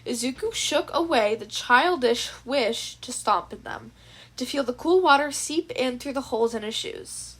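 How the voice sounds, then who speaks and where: A woman's voice: sits high, expressive, moderate pace, a bit bright, husky. A woman reading a story aloud as scripted audiobook-style narration. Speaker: fan fiction narrator.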